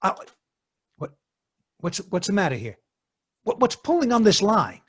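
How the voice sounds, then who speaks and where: Man acting a husky, vengeful, nervous fisherman. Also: high voice, huge pitch swings, normal speed, fairly bright tone, heavily acted. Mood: uneasy. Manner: rambling, stammering, muttering threats. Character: bitter, cowardly, vengeful crab fisherman, panicky. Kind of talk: 1940s radio drama acting.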